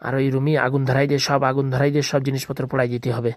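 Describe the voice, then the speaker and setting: A woman voicing an irritable, bossy male thief in a comedy cartoon, grumbling and scolding in rough slang. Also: rumbling low, little pitch movement, very fast, a little mellow, dry recording, studio quality. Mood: instructing.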